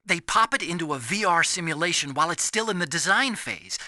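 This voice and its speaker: Bright, earnest male game sidekick. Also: on the high side, fairly quick, no room sound, clean studio sound. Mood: earnest explaining. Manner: earnest, excitable. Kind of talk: video game voice acting.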